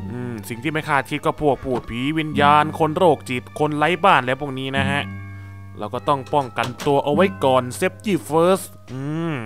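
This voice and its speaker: Man plays nervous noob villager, mumbles aloud, slightly high voice, music bed. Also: a bit bright. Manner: talks to himself, nervous and muttering. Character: clumsy noob villager man, jittery and chatty. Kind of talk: Thai cartoon dub of game footage. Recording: music playing under voice. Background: background music.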